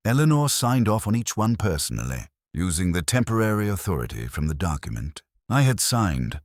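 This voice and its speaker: A man reading a scripted, dramatic first-person story in a measured, deliberate manner. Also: sits low, very animated, no room sound, clean studio sound. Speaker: story narrator.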